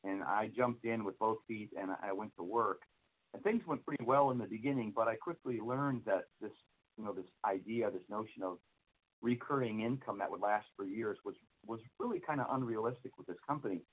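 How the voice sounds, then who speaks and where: A man in his fifties from the American Midwest, a guest on a phone call, tells his story in a friendly, earnest way with small restarts. His voice sits a bit low. It comes through a tinny, narrow phone line. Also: brisk, husky. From United States (Minnesota, Iowa). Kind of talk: telling his career story.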